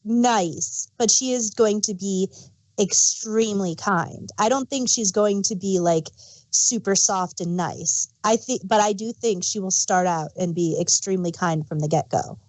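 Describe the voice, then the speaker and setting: A woman's voice: mid-range voice, a little slow, a little mellow, dry recording, rough recording. Woman in close, dry podcast chat. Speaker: podcast co-host. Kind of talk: casual, opinionated discussion of a TV show.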